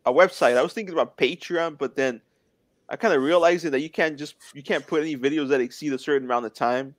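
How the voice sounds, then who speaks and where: A man's voice: fairly high pitch, fast talker, dry recording. A man in his twenties, a guest in a casual interview, talking in an earnest, plainspoken way. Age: 20s.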